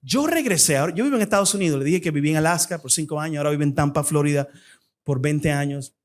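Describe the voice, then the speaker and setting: A young man with a Dominican Spanish accent, a guest speaker giving a fervent church appeal and personal testimony. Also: on the high side. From Dominican Republic. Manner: fervent, fast-talking preacher.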